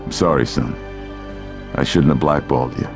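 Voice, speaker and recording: A man's voice: extremely low pitch, normal speed, gravelly. An actor playing a grave, protective middle-aged military commander and regretful father in a video game. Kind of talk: dramatic video game cutscene acting. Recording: music under the voice.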